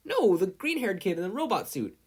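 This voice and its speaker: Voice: mid-range voice, big pitch swings, a little fast, a little mellow, breathy edge, voice pushed up. A narrator voicing a bold, sarcastic young woman with an emphatic, wry way of retorting. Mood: excited.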